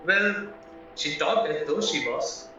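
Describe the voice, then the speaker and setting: A man's voice: fairly high pitch, animated, takes it slowly, sharp tone, exaggerated voice. A man playing a naive, chatty, polite young husband under suspicion in an audio play, earnestly explaining himself. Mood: candid, chatty. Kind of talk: stage-style audio play acting. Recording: very echoey, distant, muffled, music behind.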